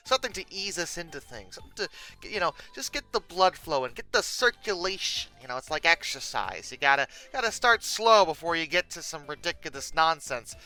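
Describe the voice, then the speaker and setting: Bright, animated comedic video host. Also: medium pitch, clean tone, low-quality recording. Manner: theatrical mock exasperation, swinging from deadpan to outbursts. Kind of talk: comedic commentary reacting to pictures.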